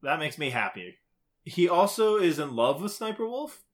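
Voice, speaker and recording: A man's voice: a little high, sing-song, normal speed. A man co-hosting a podcast, chatting casually about games with wry, conversational comments. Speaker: podcast co-host.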